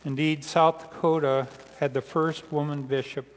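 An older man with an American accent preaching a sermon in measured, deliberate phrases as a pastor. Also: on the high side, little pitch movement. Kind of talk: sermon read from notes. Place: large church sanctuary, indoors, seated at the front. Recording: reverberant church hall sound.

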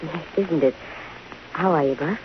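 An actress playing a dry, wisecracking, self-possessed young private detective in a 1950s radio drama. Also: female voice, low-pitched, unhurried. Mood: brisk, dry. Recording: hissy, muffled 1950 radio broadcast.

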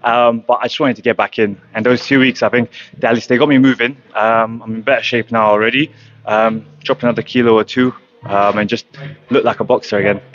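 A young man from Croatia, a professional boxer, speaking in a matter-of-fact way with many pauses and ums in an interview at a gym. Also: medium pitch, fairly even intonation, fairly bright tone, lo-fi sound. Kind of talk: casual interview. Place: boxing gym, indoors. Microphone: handheld mic held close.

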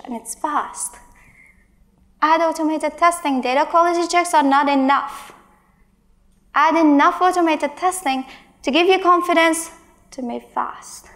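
Conference speaker, woman in her thirties, high voice with gravelly fry, emphatic and exasperated. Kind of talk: tech conference talk with Q&A. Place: large stage in a hall.

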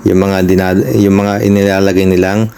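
Man, Filipino accent, lowish pitch, tutorial host, casual step-by-step instruction, polite and conversational. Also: little pitch movement, moderate pace, mellow, clean tone.

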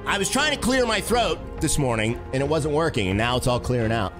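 A man with a raspy throat, streaming a game casually and chatting with viewers as host. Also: medium pitch, a little fast, music in the background. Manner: animated, talks to chat and reads comments aloud.

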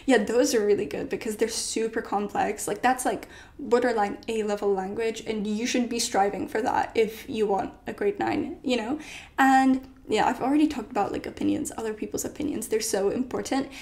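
A woman's voice: fairly high pitch, steady tone, normal speed, a little mellow. A young woman in her late teens, a native Polish speaker, giving casual study advice in a chatty way full of "like" and "you know", filmed in her bedroom. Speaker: study vlogger. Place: bedroom at home.

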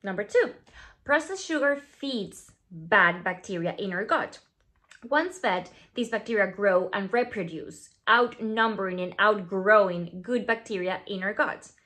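A woman's voice: medium pitch, unhurried. A woman in her thirties from Venezuela with a Venezuelan Spanish accent, Spanish her first language, talking playfully to camera in a plain studio as a skincare founder. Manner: playful, animated, drops to asides and whispers. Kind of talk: scripted talk to camera. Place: plain studio backdrop, indoors.

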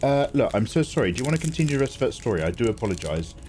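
A man with an English accent, chatting excitably on his live stream and swearing freely. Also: medium pitch, very fast, soft background music. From England. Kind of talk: casual live-stream chat.

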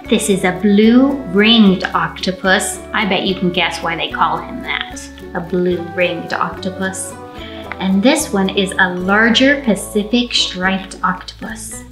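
A young American woman, a children's librarian, presenting storytime to small children in an animated, direct way, recorded indoors. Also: mid-range voice, slow, over music. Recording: roomy, echoing indoor sound.

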